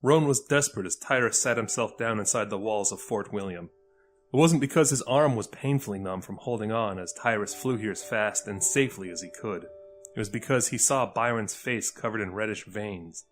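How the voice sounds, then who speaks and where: A man narrating a scripted dramatic story as the narrator. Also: on the low side. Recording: music under the voice.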